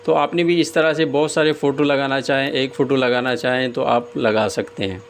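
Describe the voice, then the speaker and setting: A man giving a casual step-by-step screen tutorial as host, often pausing hesitantly mid-sentence. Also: mid-range voice, steady tone. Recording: clear background noise, room ambience.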